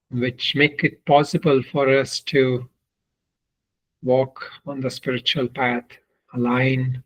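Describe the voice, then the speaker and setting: A man guides a meditation in the manner of a swami instructing students. His delivery is very slow and deliberate, broken into short phrases with long pauses. The pitch stays at a medium level and moves very little, keeping an even line throughout. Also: rough recording.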